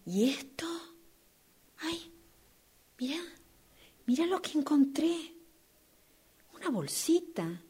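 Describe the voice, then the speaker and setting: A woman playing an excitable, hesitant, pious woman in a radio play, with a husky voice and wide-eyed exclamations. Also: high voice, slow pace, pauses often, pitched above the performer's own voice, exaggerated voice. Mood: curious wonder. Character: excitable, hesitant, pious working-class woman finding money.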